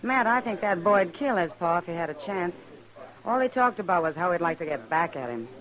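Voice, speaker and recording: A woman playing a frank, sharp-eyed American saloon woman in an old radio western, direct and wry in manner. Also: fairly low pitch, expressive, brisk, poor audio. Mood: concerned, frank. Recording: old radio recording, crowd sounds behind.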